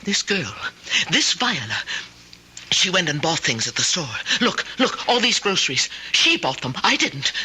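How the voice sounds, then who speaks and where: Fretful, sharp-voiced frightened woman. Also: lowish voice, big pitch swings, slight background noise, full character voice, poor audio. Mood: shaken, confused. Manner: halting, pleading, repeats herself. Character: frightened, confused, fretful lonely woman insisting on her story. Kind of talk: old-time radio drama acting. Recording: old radio drama recording.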